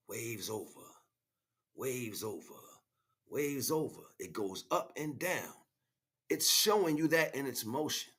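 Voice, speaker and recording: An American man giving an emphatic, freestyle spiritual monologue in street slang, repeating phrases as he goes, with a husky voice. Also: fairly high pitch, a bit bright. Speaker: spiritual livestream speaker.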